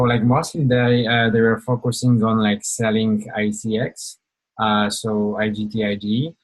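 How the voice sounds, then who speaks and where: Low flat-voiced man presenting. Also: low-quality recording. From Poland. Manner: hesitant, many fillers and restarts. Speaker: webinar presenter. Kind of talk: informal online presentation.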